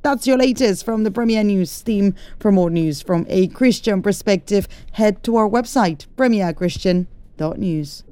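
A woman presents a radio news bulletin with steady, clear delivery. Her tone is warm and mellow. The studio sound is dry and close. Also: medium pitch, normal speed, few pauses, no room sound. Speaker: newsreader. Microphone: studio mic.

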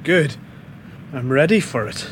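A man's voice: a little high, expressive, unhurried, a little hiss or hum. An actor playing the apostle Peter, a plain-spoken man preaching earnestly to a crowd in a radio drama. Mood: calm, assured. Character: Peter, bold fisherman apostle, middle-aged man.

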